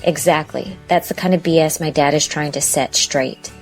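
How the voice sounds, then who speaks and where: Determined, ornery young drama heroine: low female voice, self-assured, brisk and familiar. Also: steady tone, soft background music. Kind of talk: audio drama acting.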